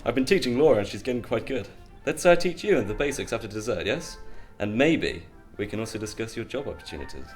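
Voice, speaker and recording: A man acting a well-off, boastful, stern middle-aged uncle in a radio drama, with a pompous, measured way of talking. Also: fairly low pitch, very animated, faint music behind. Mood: boastful, jovial.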